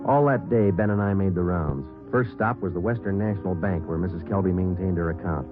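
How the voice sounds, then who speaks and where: Deep, fast, deadpan detective sergeant, played by an actor. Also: male voice, lightly acted. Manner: deadpan, clipped, matter-of-fact. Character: terse, businesslike male police detective sergeant narrating cases. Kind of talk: 1950s radio crime drama narration. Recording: muffled vintage radio recording, music behind.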